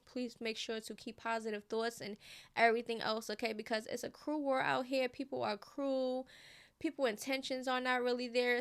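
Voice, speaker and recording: A woman's voice: on the high side, fairly bright tone, clean tone. A woman in her thirties hosting casual gossip commentary, chatty and slangy, often checking in with her viewers. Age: 30s.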